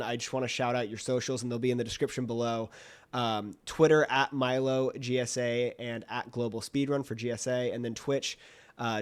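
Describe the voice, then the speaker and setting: A man hosting a podcast in a casual, enthusiastic and informal way. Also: medium pitch. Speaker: podcast host.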